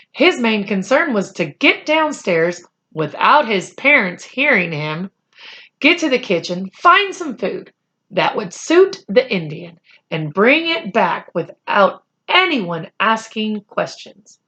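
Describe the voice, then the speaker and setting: A woman narrates a children's book in a deliberate storyteller style. Her pace is slow and her pitch is lively, moving widely as she reads. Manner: deliberate, animated. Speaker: read-aloud storyteller.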